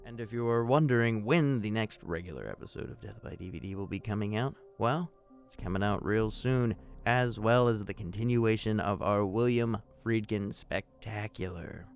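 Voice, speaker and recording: Low, gravelly male podcast host. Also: moderate pace, faint music behind. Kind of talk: casual podcast announcements and sign-off.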